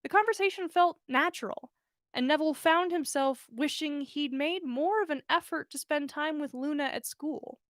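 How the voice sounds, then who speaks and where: A woman's voice: sits high, moderate pace, a bit bright, no echo, studio quality. A woman narrating a story expressively, as a podcast host reading fan fiction aloud.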